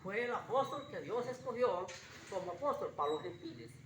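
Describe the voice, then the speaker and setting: A preacher with a slightly husky voice gives an informal Bible sermon in a halting, repetitive, homespun way. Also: medium pitch, animated, a bit bright. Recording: roomy echo with faint background noise.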